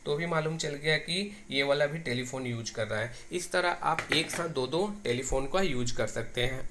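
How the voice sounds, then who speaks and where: A man's voice: mid-range voice, pushed and bright, slight background noise. An Indian man giving an informal hands-on tutorial, explaining step by step and often repeating himself. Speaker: tech tutorial presenter.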